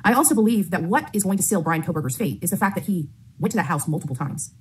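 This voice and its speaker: Woman, middle-aged, lower voice, rapid vlog host delivery, noisy played-back audio. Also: lively intonation. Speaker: YouTube host. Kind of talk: vlog commentary.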